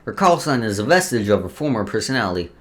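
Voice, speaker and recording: Guy doing a let's play, reading lore out loud, trips on words n restarts, chatty. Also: on the low side, very animated, fast. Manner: stumbles over words while reading, then restarts. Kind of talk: reading game lore aloud with casual asides.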